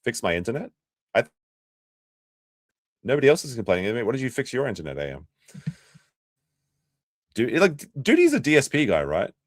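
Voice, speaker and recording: A man's voice: medium pitch, wildly swooping pitch, a little fast, pauses often, no room sound, clean studio sound. An Australian man hosting a casual livestream, chatty and sarcastic, reacting to clips and laughing often.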